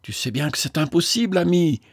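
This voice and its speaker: Young religious woman, earnest and pleading, voiced by a male reader lifting his pitch, dry sound. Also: expressive, fairly quick, breathy edge, no echo. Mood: tender. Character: young pious woman, gentle yet firm.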